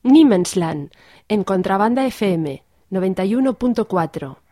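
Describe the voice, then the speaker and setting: A woman makes a scripted radio announcement in a mellow voice of medium pitch. She sounds like a station announcer, recorded very dry. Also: animated, moderate pace, dry recording.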